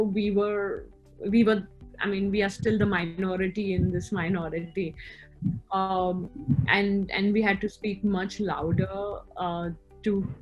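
A woman's voice: mid-range voice, low-quality recording. A woman in her thirties with an Indian accent, giving reflective, conversational interview answers and thinking aloud with some hesitation over a video call. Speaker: interview guest, co-founder of a queer community platform. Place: small room indoors, on a video call. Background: background music.

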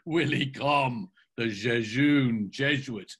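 A man's voice: a little high, sing-song, a little slow, sharp tone, breathy edge, voice pushed up, full character voice. A man playing a boisterous, irreverent young man in a dramatised literary reading, teasing and declaiming theatrically. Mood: playful mockery.

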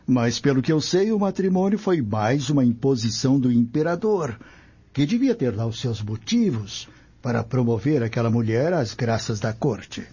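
A man playing a genial, pompous aging Roman patrician in a radio drama, speaking in a measured way. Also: mid-range voice, animated, dark tone, husky. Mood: admiring, knowing.

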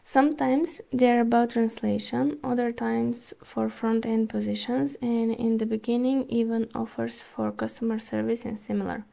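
A woman reads her own article aloud for a podcast, in a calm, measured pace with the odd "uh". Her voice sits a little high but sounds mellow and soft rather than bright. She is recorded close on the mic. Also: gravelly. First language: Bulgarian. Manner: calm, measured reading with small hesitations. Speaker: podcast host.

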